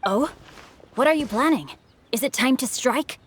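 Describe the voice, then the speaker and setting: A woman's voice: high voice, expressive, normal speed, husky. A woman playing a dutiful, eager village guard in a video game.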